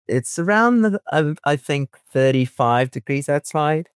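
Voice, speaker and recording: A man's voice: a little high, lively intonation, a little slow, clean tone, dry recording, studio quality. A young Brazilian man with a Brazilian Portuguese accent, a guest researcher talking casually on a video call, hesitant and restarting his phrases. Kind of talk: casual interview chat. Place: home in a city.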